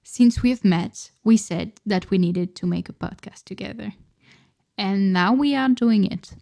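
A woman from France with a French accent chats slowly and unhurriedly as a podcast co-host. She tells stories in a relaxed way, often restarting her sentences, at a middling pitch. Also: creaky. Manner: chatty, storytelling with frequent restarts. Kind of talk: casual chat.

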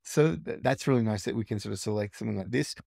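Gravelly-voiced man explaining casually. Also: on the low side, quick pace, no echo, studio quality. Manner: relaxed, thinking aloud with restarts. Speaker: tech tutorial host. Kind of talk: casual screen-recorded walkthrough.

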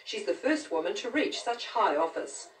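A woman's voice: mid-range voice, sing-song, normal speed. A woman reporter, narrating a scripted news report. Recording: roomy, distant, noisy TV capture.

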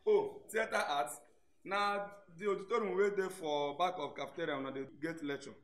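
A man's voice: fairly high pitch, fairly even intonation, normal speed, a bit bright, close to an everyday voice. A young man with a Nigerian accent playing a welcoming, joking student roommate in a radio drama. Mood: helpful, casual. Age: young adult. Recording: echoey room, faint noise.

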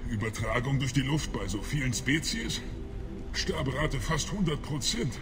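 An actor playing a bitter, plague-sick alien in a video game, speaking gravely and weakly in measured, formal words. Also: male voice, mid-range voice, close to an everyday voice. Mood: suspicious, weary. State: sick, coughing, weakened. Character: dying plague-sick male alien, bitter, suspicious of humans. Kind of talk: video game dialogue acting. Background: game music.

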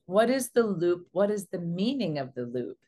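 A woman in her forties speaking as a relationship coach, explaining things to camera in an earnest, measured way. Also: fairly low pitch, very animated, mellow, slight background noise. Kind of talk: explanatory advice talk to camera. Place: small indoor room.

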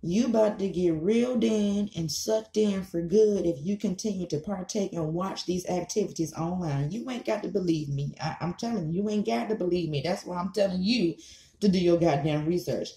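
A woman's voice: fairly low pitch, normal speed, mellow. An American woman in her thirties giving a casual, blunt, emphatic and repetitive rant to camera, swearing freely. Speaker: online commentary vlogger. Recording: echoey small room.

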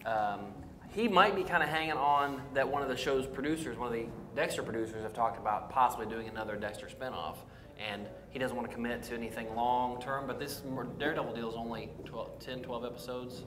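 An American man in his forties chatting casually as a panelist on a geek culture show, often halting and repeating words. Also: mid-range voice. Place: roomy indoor restaurant at a table. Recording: echoey room, clear background noise.

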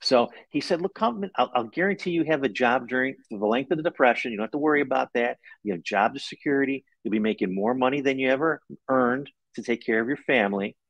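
A man's voice: mid-range voice, fast, hardly any pauses, a bit bright, dry recording, poor audio. Middle-aged man explaining in a dry room. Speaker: author being interviewed. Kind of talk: conversational interview storytelling. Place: room at home, indoors.

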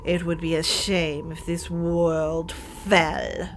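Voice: medium pitch, flat delivery, slow, a little hiss or hum. An actor playing a gloating, menacing empress of the underworld in an amateur audio drama, drawing out each taunt. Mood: gloating menace. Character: Lilith, gloating evil female underworld empress.

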